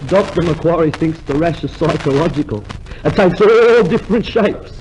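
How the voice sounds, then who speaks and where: A man acts a chirpy hypochondriac from an old radio comedy. His voice sits high, and he is earnestly proud of every ailment. The old recording has a clear layer of noise. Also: expressive, normal speed, close to an everyday voice. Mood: proud, confiding. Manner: earnestly boastful about being ill. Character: chirpy hypochondriac male customer who relishes his ailments.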